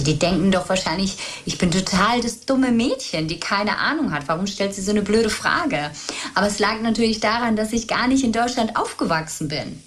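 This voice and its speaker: A woman from Spain, a trauma and mindset coach, telling her story as a guest in an earnest, reflective way. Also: medium pitch. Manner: earnest, reflective, speaks in long flowing stretches. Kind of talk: podcast interview, personal storytelling.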